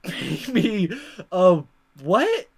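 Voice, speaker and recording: A gamer voicing a flustered, awkward high school boy from a visual novel, stammering and exasperated. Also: huge pitch swings, very slow, creaky, darkish tone, slightly rough, heavily acted. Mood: awkward, confused. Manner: stammering, exasperated inner monologue. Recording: music under the voice.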